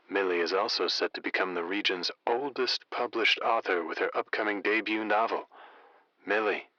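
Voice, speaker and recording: Deep-voiced, suave late-night radio host. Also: male voice, pushed and bright, no echo, dull audio. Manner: theatrical, florid, suave. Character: smooth, flirtatious, flowery late-night conspiracy radio host. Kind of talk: audio drama, radio show hosting.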